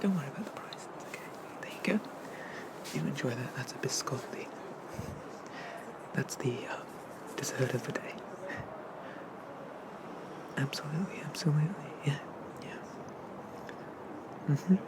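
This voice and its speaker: A performer playing a friendly, bashful monster barista in a soft, hesitant, gently chatty whispered ASMR roleplay. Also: mid-range voice, moderate pace, pauses often, lightly acted, low-quality recording. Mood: kind, helpful. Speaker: coffee shop barista.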